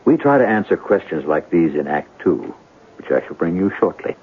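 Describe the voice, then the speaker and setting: A man hosting and narrating a radio drama in a measured, theatrical manner with weighty pauses. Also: low-pitched, big pitch swings, slightly rough. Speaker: host and narrator. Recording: tinny band-limited vintage radio recording.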